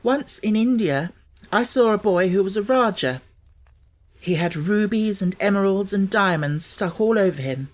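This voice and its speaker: A man's voice: high-pitched, animated, moderate pace, pitched above the performer's own voice. A man voicing an inquisitive young English girl in an audiobook, direct and thoughtful.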